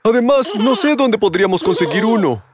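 A man's voice: high voice, very animated, brisk, a crowd in the background. A man playing a sleepy, hapless father in a children's cartoon, groggy and hesitant in delivery. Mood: doubtful. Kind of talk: animated children's show dubbing. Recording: music behind the voice.

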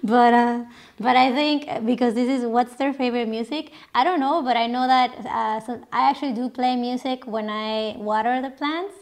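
A woman's voice: on the high side, moderate pace. A young woman from Mexico, hosting a casual Q&A on her sofa and laughing often. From Mexico, raised partly in Canada. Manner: chatty, laughs often, many restarts. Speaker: channel host. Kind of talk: casual Q&A chat. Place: living room sofa, indoors. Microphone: camera a few feet away.

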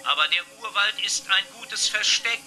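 Man voicing a high-pitched, precise ship computer. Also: background noise, lightly acted. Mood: matter-of-fact. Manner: measured, factual, formal. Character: calm, precise male robot ship computer, helpful. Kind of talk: children's sci-fi radio drama acting. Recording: music behind the voice.

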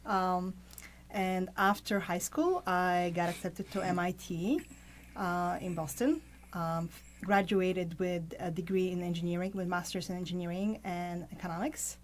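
Woman from Poland, 40s, lowish voice, methodical, radio guest, studio mic. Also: steady tone, a little hiss or hum. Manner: methodical, halting with fillers. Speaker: guest, tech co-founder. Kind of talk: radio interview. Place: small padded radio studio. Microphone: studio mic with pop filter.

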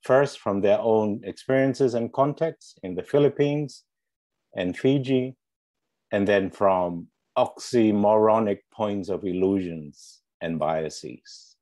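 A man's voice: lowish voice, slow, warm tone, no echo. A middle-aged man giving a scripted academic presentation over a headset on a video call. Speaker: panel presenter. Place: small room indoors, video call. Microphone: earphone headset.

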